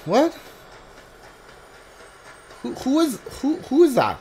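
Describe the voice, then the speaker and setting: A man streaming a video game with casual, halting, exasperated commentary. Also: extremely high pitch, wildly swooping pitch, mellow. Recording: clear background noise.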